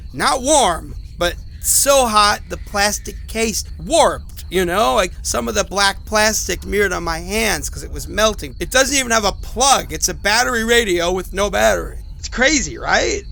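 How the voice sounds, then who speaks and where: A man's voice: high-pitched, lively intonation, unhurried, creaky, hardly any pauses, pushed and bright, slight background noise, full character voice. An actor playing a sick, rattled, apologetic man who rambles hesitantly through phone messages in a horror audio drama. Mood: panicked disbelief.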